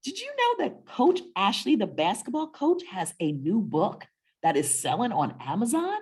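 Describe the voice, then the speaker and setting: A woman co-hosting a children's story webinar, chatting casually in a warm, encouraging, motivational way and often checking in with questions. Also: on the high side, huge pitch swings, moderate pace, slightly rough.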